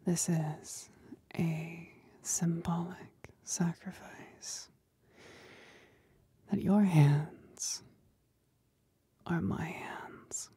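Possessive demon companion played by a woman, ASMR roleplay: very slow, dark breathy whisper, seductive and commanding. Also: lowish voice, steady tone, dry recording, heavily acted. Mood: coaxing, commanding. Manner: slow, deliberate, soothing yet domineering.

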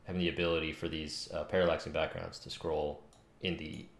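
A man's voice: very deep voice, little pitch movement, brisk, creaky. A man in his thirties on a casual live-coding stream, muttering to himself in fragments as he thinks aloud. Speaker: game developer live streamer. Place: small home room, indoors. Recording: echoey room with loud keyboard clicking. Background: typing and mouse clicks.

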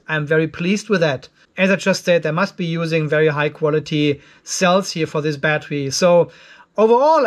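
Male video host, 50s, voice set well above average, casual explanatory commentary delivered as if thinking aloud. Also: a little high. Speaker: host of an off-grid solar video channel. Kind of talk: casual product test commentary. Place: cluttered garage workshop.

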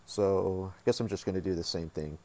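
A man hosting a casual software tutorial, talking informally and thinking aloud as he works. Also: low-pitched, steady tone, fairly quick. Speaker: tutorial host.

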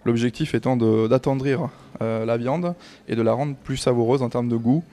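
A man's voice: mid-range voice, moderate pace, warm tone. A man in his thirties, a restaurant manager, answering questions on camera into a handheld mic in a small restaurant, hesitant with many fillers and restarts. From France. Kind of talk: on-camera interview. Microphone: handheld reporter mic.

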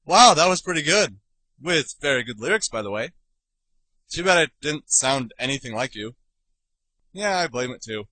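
A man voicing a snarky, excitable young male adventure hero in a parody cartoon, sarcastic and ranting theatrically. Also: medium pitch, moderate pace, long pauses, dry recording, poor audio. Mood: dry. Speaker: amateur voice actor in a parody series. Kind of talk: comedic abridged-series cartoon dubbing.

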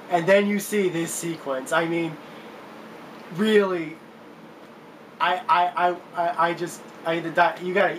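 A man in his twenties giving casual countdown commentary to camera as a video game reviewer, recorded in a room at home. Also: fairly high pitch. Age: 20s. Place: small room at home, indoors. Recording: noticeable background hiss.

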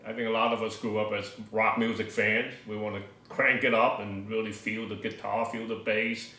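Older dude w a lowish voice chatting as cohost, echoey room. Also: male voice, little pitch movement, normal speed, sharp tone, far from the mic. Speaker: co-host of an audio hobby show. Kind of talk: casual hobby discussion. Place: living room table indoors.

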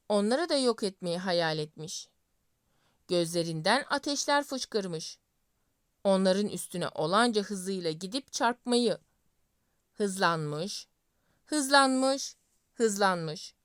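A woman storyteller narrating a scripted fairy tale in deliberate phrases with pauses. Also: medium pitch, clear, resonant tone, no room sound, studio quality.

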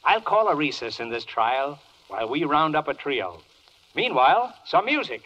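An actor playing a flustered, blustering judge in a 1940s rhymed radio comedy, mock-pompous and exclamatory. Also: male voice, fairly high pitch, big pitch swings, moderate pace, bright, forceful tone, exaggerated voice. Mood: brisk, wisecracking. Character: flustered, blustering male judge in a comic verse courtroom. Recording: dull, band-limited 1940s radio recording.

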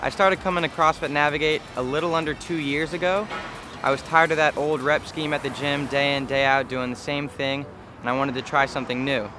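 Young male coach, light-pitched voice. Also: fairly even intonation, fairly quick. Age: 20s. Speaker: assistant coach. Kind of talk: promotional testimonial. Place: indoor gym by open garage door. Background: music.

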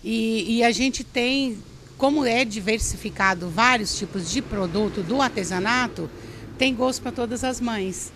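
A woman's voice: fairly high pitch, unhurried, background noise. A middle-aged woman who organizes a craft association, interviewed for TV news at an indoor craft fair on a handheld mic, talking in a rambling way with many restarts. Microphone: handheld reporter mic.

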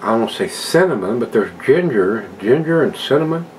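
A man's voice: medium pitch, lively intonation, normal speed, slight background noise, rough recording. An older man at home casually reviewing a beer, chatting to his viewers in a folksy way. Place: home room with a desk and plants, indoors.

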